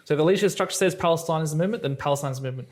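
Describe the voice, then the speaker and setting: Rapid-talking male podcast host. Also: fairly high pitch. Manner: rapid, conversational, many fillers. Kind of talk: casual political conversation.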